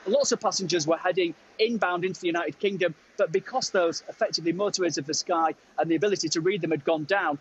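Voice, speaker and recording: A man's voice: high-pitched, fast, hardly any pauses. A man giving an emphatic live news report as a correspondent, repeating phrases for effect. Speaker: transport correspondent. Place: live location report at an airport. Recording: dull audio with clear background noise.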